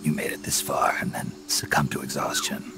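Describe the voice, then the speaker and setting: An actor playing a gruff, guarded soldier in a video game cutscene. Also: male voice, extremely low pitch, wildly swooping pitch, moderate pace, exaggerated voice. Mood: questioning. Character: gruff, guarded soldier man posing as a birdwatcher. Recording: music behind the voice.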